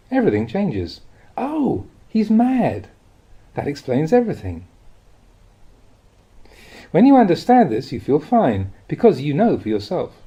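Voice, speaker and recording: Mellow man reading with lively intonation. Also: medium pitch, husky, muffled recording. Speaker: reader of Buddhist teachings. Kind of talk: audiobook reading of a spiritual talk.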